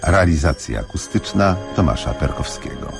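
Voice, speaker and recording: A male announcer with a very deep voice reads scripted credits for a radio play. Music plays clearly behind him. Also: lively intonation, moderate pace.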